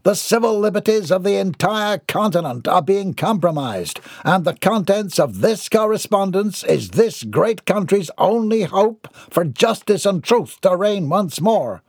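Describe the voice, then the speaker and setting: A man's voice: sits high, expressive, measured pace, few pauses, husky, dry recording, clean studio sound, pitched above the performer's own voice, heavily acted. A man voicing a pompous, indignant eccentric who declaims in grandiose, formal language in an audiobook. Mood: grandiose outrage.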